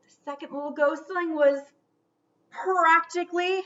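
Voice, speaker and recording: A woman reading a children's storybook aloud theatrically, stretching out words and dropping into hushed whispers for suspense. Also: extremely high pitch, steady tone, measured pace, highs cut off.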